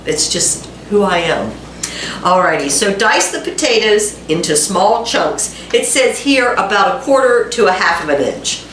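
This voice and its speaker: Home cook host with a cold, woman, stuffy nasal tone, chatty and self-mocking, echoey kitchen, mic set back, loud sizzling pan. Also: mid-range voice, recorded from a distance, a little hiss or hum. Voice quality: congested and nasal. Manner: chatty, self-deprecating asides to viewers. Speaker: cooking channel host. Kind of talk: casual home cooking demonstration. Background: frying sizzle and a dishwasher running.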